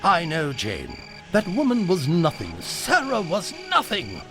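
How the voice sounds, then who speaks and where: A man's voice: sits high, wildly swooping pitch, unhurried, music in the background, exaggerated voice. An actor playing a pleading Victorian man in a melodramatic audiobook drama. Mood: defensive, pleading. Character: pleading Victorian man arguing with his lover.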